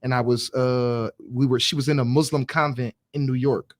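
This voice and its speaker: A man hosting a casual group chat, animated and laughing often. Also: mid-range voice, moderate pace, no room sound, studio quality. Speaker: podcast host.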